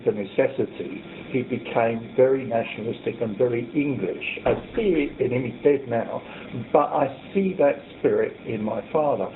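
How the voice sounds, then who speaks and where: An older man speaking reflectively and earnestly in a group discussion. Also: medium pitch. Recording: tinny lo-fi recording, faint hiss.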